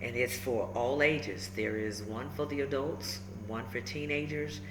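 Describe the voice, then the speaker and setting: A woman reading a children's book aloud, emphatic and instructive, pausing between short phrases and adding encouraging asides. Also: extremely low pitch. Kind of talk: animated storybook read-aloud with encouraging asides. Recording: echoey room with faint background noise.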